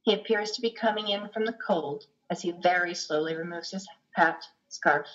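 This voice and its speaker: A woman's voice: on the low side, moderate pace, fairly bright tone. A middle-aged woman reading stage directions as a scripted narration. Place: room at home. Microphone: video call, computer microphone. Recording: dull video call audio.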